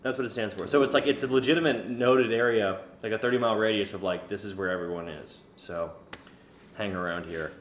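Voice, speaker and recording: A man's voice: lowish voice, brisk, slight background noise. A young man co-hosting a crude, casual podcast chat. He is hungover, rambles and cracks jokes with frequent laughs. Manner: rambling, joking, laughs often. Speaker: podcast co-host. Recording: roomy echo.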